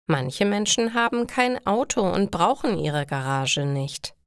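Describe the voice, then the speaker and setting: A woman voicing a practical older mother who advises her grown daughter, in a sensible, deliberate storybook manner. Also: medium pitch, expressive, clear, resonant tone, dry recording, studio quality. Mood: reassuring.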